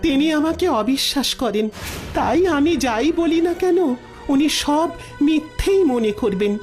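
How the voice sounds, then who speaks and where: Casting: actress for grieving, resigned queen, high plaintive voice, melodramatic fairy-tale audio drama, music beneath. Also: expressive, a little slow, darkish tone. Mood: sorrowful, shocked. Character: sorrowful, resigned young queen, grieving mother. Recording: music under the voice.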